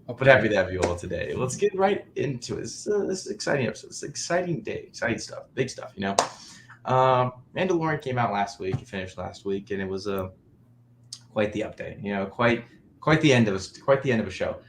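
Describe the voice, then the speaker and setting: Slightly hoarse man hosting a podcast. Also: on the low side, expressive, brisk, background noise. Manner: chatty, laughs often, many "you know"s. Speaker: podcast host. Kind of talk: casual chat.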